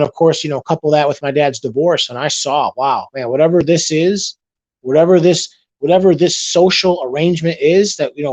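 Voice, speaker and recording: A man's voice: fairly high pitch, darkish tone, no echo, rough recording. A man hosting a casual late-night livestream, rambling and restarting his sentences, reading out chat messages, his voice getting worn after a long session. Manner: rambling, repeats himself, restarts.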